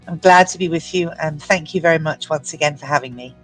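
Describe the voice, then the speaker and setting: A woman in her fifties, from the UK with an English accent, telling her story as an entrepreneur in a measured, articulate way. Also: low voice, faint music behind, rough recording. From United Kingdom. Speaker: guest entrepreneur. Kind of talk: prepared personal story.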